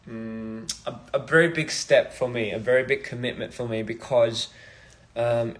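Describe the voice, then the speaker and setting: A young man from Australia, a musician chatting casually on a phone livestream in a halting, rambling, soft-spoken way. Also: medium pitch, fairly even intonation. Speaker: musician streaming live. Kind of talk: casual live-stream chat.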